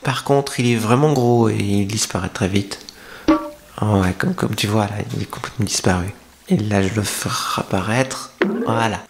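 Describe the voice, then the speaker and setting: A man's voice: lowish voice, normal speed, soft background music, natural voice. A coy young femboy streamer, played by a man, hosts the listener in a maid café roleplay. The delivery is intimate ASMR, playful and teasing, with a confiding way of talking straight to one person. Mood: playful, welcoming.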